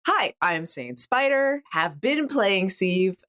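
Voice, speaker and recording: A woman's voice: medium pitch, very animated, takes it slowly, a bit bright, no echo, clean studio sound. A woman from a podcast cast, giving a casual, conversational sign-off.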